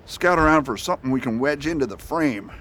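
A man playing a determined US marshal in a radio drama, calm, encouraging and in charge. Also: mid-range voice, fairly quick, close to an everyday voice. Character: steady, determined male US marshal hero. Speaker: lead character. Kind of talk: amateur radio drama acting. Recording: echoey room with faint noise.

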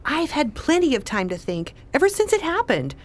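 An actress playing a wry, weary, irritable pregnant wife with a dry manner in a radio play. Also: female voice, high-pitched, big pitch swings, moderate pace. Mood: testy.

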